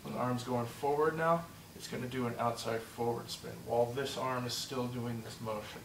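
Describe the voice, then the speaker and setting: A man teaching a movement drill step by step, encouraging and repeating himself, slightly hoarse and short of breath. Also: mid-range voice, fairly even intonation, normal speed, reverberant, distant mic, slight background noise, poor audio. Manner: encouraging, repeats "just like that" and "good". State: losing his voice, a bit out of breath. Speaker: instructor. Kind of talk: step-by-step demonstration lesson.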